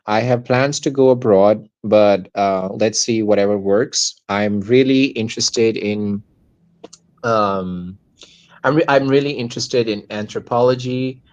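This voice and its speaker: A young man of nineteen with a Pakistani accent and a fairly low voice. He chats as an interview guest, rephrasing as he goes, in a close, dry sound. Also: unhurried, a little mellow, no echo, lo-fi sound. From Hyderabad, Pakistan. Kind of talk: casual interview answers.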